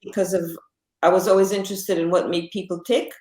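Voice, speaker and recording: Interview guest: woman in her sixties from Israel, lowish voice, halting, lots of fillers, roomy echo. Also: little pitch movement, darkish tone, a little hiss or hum. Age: 60s. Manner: halting, many fillers and restarts. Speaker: author and psychotherapist, guest. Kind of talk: conversational interview.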